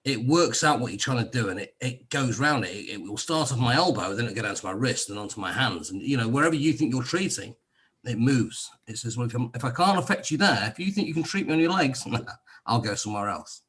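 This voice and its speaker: Rambling, wry Englishman with a husky voice. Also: medium pitch, lively intonation, a little fast, a bit bright. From England. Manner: rambling, wry, restarts often. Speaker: guest sharing a health testimonial. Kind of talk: casual video-call conversation. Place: lives in Cyprus.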